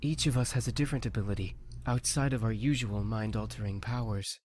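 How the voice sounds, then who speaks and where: A woman voicing a calm, quiet, reassuring young male incubus in a visual novel game. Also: rumbling low, brisk, slight background noise, voice pushed down.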